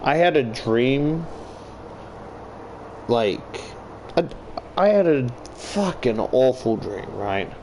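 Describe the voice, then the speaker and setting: A man commentates casually on a game over a clear air-conditioner hum. His delivery is slow and halting, rambling from one thought to the next with plenty of swearing. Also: mid-range voice. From Australia. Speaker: YouTuber playing and reading a visual novel. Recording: clear steady air-conditioner background noise.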